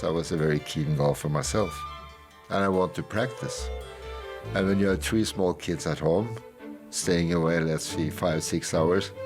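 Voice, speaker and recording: An older man from Norway recalls his daughter in a gentle, unhurried way. His voice is very deep. Soft music sits behind him. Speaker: father. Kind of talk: documentary interview.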